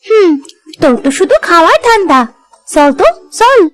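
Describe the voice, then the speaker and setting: Cartoon little sibling, played by a woman: very high voice, bossy and teasing, lively swoops. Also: normal speed, soft background music. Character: bossy, teasing village child, a younger sibling. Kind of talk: Bengali cartoon dialogue.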